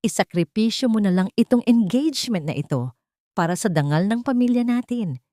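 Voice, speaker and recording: A woman's voice: medium pitch, lively intonation, moderate pace, dark tone, no echo, studio quality, voice pushed up, lightly acted. A woman voicing a cold, domineering rich mother in an audio drama, stern and unyielding as she scolds her daughter. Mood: cold, insistent.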